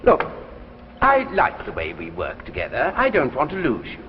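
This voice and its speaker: An actor playing a smooth, scheming middle-aged writer, coaxing and dismissive, in old radio drama style. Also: male voice, medium pitch, huge pitch swings, normal speed. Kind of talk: 1950s radio drama acting. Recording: echoey, noisy, dull old radio recording.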